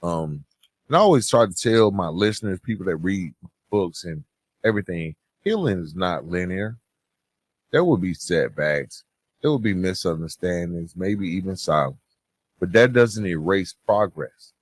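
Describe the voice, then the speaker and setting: A man hosting a podcast, giving motivational advice in an earnest, conversational, gently preaching way with emphatic pauses. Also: low-pitched, animated, husky, dry recording, clean studio sound. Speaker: podcast host and author.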